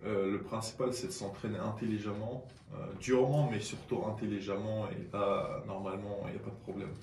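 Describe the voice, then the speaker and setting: A male athlete explains his answers in a radio interview, doubling back on words. His voice is on the low side, recorded in a roomy space with slight background noise. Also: level tone, a little fast, a little mellow. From born in Siberia, moved to France aged 10. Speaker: arm-wrestling athlete, radio guest. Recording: roomy sound with faint noise.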